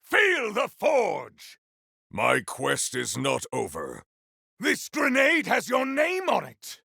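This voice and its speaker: Game hero: grandiose, vengeful elemental warrior, very gravelly and forceful, theatrical archaic combat lines. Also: male voice, sits high, wildly swooping pitch, takes it slowly, no room sound, clean studio sound, full character voice. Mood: grim, brooding. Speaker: voice actor for a video game character. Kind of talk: video game combat barks.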